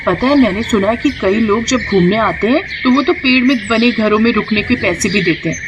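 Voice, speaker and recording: Woman voicing an expressive young village daughter-in-law, background noise. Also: fairly high pitch, normal speed, lightly acted. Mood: excited. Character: poor, resourceful young village daughters-in-law, eager and complaining. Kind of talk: animated moral story character dialogue.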